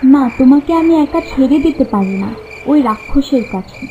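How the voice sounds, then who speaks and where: Lady doing anxious runaway princess for a cartoon, really high mellow voice, cautious, kinda muffled lo-fi audio. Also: expressive, moderate pace, slight background noise. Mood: concerned. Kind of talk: cartoon story dialogue.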